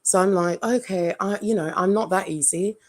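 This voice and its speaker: A woman's voice: medium pitch, normal speed. Blunt woman with an English accent. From Jamaica. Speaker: guest. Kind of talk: casual live-stream chat.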